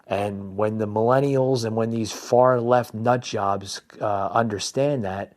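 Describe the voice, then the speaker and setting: A man's voice: lowish voice, fairly even intonation, takes it slowly, darkish tone. A man from New Jersey hosting a podcast, ranting through political commentary, calling listeners "folks" and stumbling over repeated words. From New Jersey, USA. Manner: repetitive restarts, stammering repeats. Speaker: podcast host.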